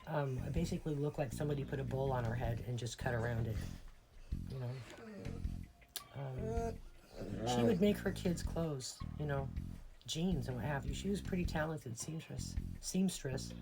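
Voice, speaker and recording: A woman's voice: very deep voice, expressive, normal speed, gravelly, over loud music. A middle-aged American woman telling personal stories in a casual, rambling, reflective monologue. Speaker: personal storyteller.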